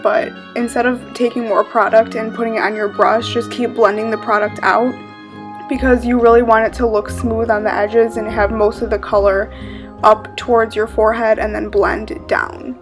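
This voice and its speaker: A woman's voice: fairly high pitch, normal speed, over music. A young woman in her twenties casually talking through a makeup tutorial with frequent fillers. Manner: casual, chatty, relaxed with frequent "um" and "like". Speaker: makeup vlogger. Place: living room with red couch.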